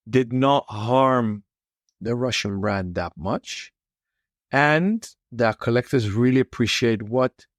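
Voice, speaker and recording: A man co-hosting a casual podcast chat, thinking aloud in a measured way with pauses. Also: lowish voice, no room sound, clean studio sound. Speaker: podcast co-host.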